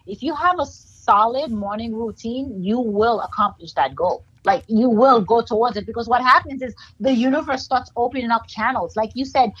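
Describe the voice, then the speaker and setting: A woman's voice: fairly high pitch, normal speed, hardly any pauses, fairly bright tone, breathy edge, highs cut off. A woman with a Haitian accent, a guest in a casual interview, explaining in an upbeat, motivational way.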